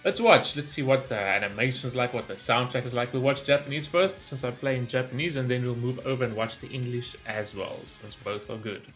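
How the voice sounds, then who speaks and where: A man giving casual, excitable and rambling reaction commentary as host of a gaming video. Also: medium pitch, a little fast, hardly any pauses, faint music behind.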